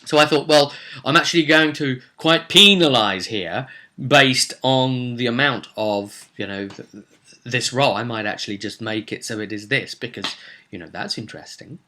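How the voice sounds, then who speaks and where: A man's voice: medium pitch, normal speed, pushed and bright. A man recapping a tabletop roleplay session as game master, telling it theatrically with casual asides.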